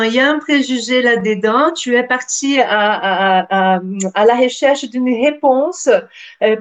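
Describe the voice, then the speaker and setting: A woman hosting a radio interview, asking measured, guiding questions. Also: high voice.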